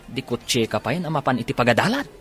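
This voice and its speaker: A man's voice: medium pitch, big pitch swings, fast, music in the background, natural voice. A man with an Ilocano accent playing a nosy, playful buddy in a comedy radio drama. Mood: teasing. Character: teasing, joking male buddy, nosy and playful.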